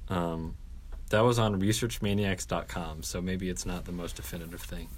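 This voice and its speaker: Laid-back young podcast co-host, low voice, easygoing. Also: male voice, steady tone, moderate pace, slight background noise. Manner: laid-back, reads out search results. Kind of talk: casual chat.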